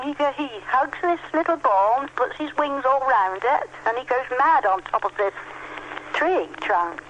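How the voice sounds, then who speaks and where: A woman's voice: sits high, fairly bright tone, slight background noise. A woman phoning a radio show, explaining something in an earnest, hesitant, conversational way. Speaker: phone-in caller. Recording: muffled telephone line on radio.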